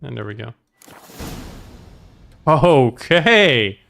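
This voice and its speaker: Slow-paced male game streamer. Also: medium pitch, big pitch swings, creaky, fairly bright tone, slight background noise. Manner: muses aloud to himself. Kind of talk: casual gameplay commentary. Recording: voice over game music. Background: game music.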